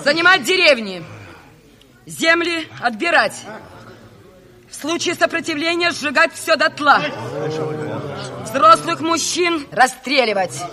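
An actress playing a firm revolutionary agitator in a radio drama, declaiming and commanding. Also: female voice, sits high, animated, slow, long pauses. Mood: grave, declaiming. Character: firm revolutionary woman agitator rallying villagers. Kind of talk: theatrical radio drama acting. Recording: crowd scene in 1970s radio play.

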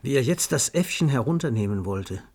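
A man narrating a literary radio play in a measured storytelling manner. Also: medium pitch, expressive, darkish tone, breathy edge.

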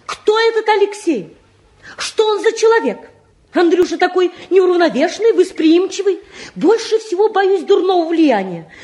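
A woman's voice: very high voice, sing-song, moderate pace, a little mellow. An actress playing an anxious middle-aged mother and housewife, agitated and pleading, in a mid-century Soviet radio-play style. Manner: agitated, pleading, repeats herself. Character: anxious, fretful mother and housewife, fussing over her sons.

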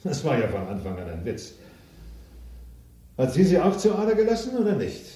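A man's voice: on the high side, huge pitch swings, normal speed, warm tone, far from the mic. Swaggering, scheming businessman in echoey room. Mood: bitter, sly. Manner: blunt, swaggering, theatrically emphatic. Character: cynical, ambitious self-made businessman, scheming and coarse. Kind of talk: German crime radio drama acting.

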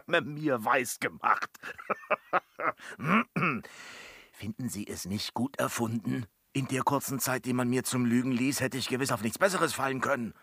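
An actor playing a boastful, cheeky manservant and gleeful liar in a classical German radio comedy, teasing with mock solemnity and theatrical flourish. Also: male voice, mid-range voice, a little slow, sharp tone, slightly rough, dry recording, studio quality, exaggerated voice. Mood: gleeful, smug.

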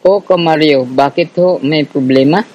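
An actor playing a friendly, considerate Filipino guerrilla teacher and organizer in a radio drama. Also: male voice, fairly high pitch, normal speed, darkish tone, close to an everyday voice. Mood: friendly, guiding. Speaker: rebel teacher and organizer character. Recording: slightly dull lo-fi audio.

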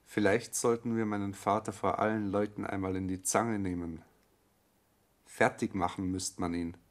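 A man's voice: fairly low pitch, little pitch movement, moderate pace, natural voice. An actor playing an evasive, business-minded young man with a Bavarian accent in a radio play, matter-of-fact and detached. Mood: grim, evasive. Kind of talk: naturalistic radio play acting.

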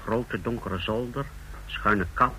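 A man's voice: on the low side, slow, pushed and bright, slight background noise, poor audio. A man acting a deferential, precise official who reports formally and clinically in a Dutch radio play. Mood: businesslike. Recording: old radio drama recording.

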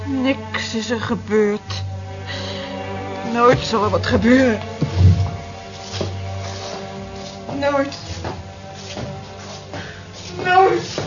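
A woman's voice: fairly high pitch, expressive, slow pace, music in the background. An actress playing a lovelorn, weary cleaning woman in a radio drama, bitterly resigned, with clipped, dejected replies. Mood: despairing. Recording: muffled, noisy old radio play recording.

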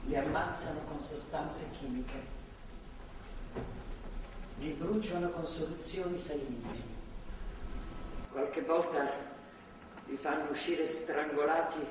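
A woman's voice: low voice, darkish tone. An older actress playing a motherly, stubborn, devout captor in a stage drama, speaking earnestly and patiently. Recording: echoey hall from far off, clear noise.

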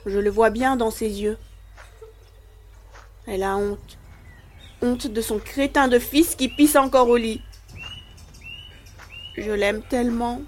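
Woman playing a slow, high-voiced, frightened child. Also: a little hiss or hum. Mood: ashamed. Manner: confessional, self-deprecating. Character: ashamed, frightened young village boy who wets the bed. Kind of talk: dramatic monologue in an audio play.

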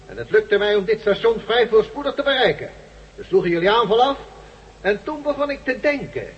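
High-voiced earnest turncoat, muffled recording. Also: male voice, expressive. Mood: earnest, hesitant. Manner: earnest, halting, self-justifying. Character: male turncoat former captive, earnest, pleading, self-justifying. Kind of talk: Dutch science-fiction radio drama acting. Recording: muffled old radio drama recording.

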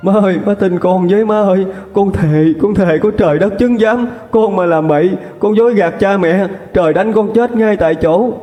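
A man's voice: high voice, normal speed, hardly any pauses, dark tone, voice pushed up, close to an everyday voice. A man voicing a wronged young woman, pleading tearfully with her mother. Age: 20s. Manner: tearful, sobbing pleas. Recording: reverberant with background music.

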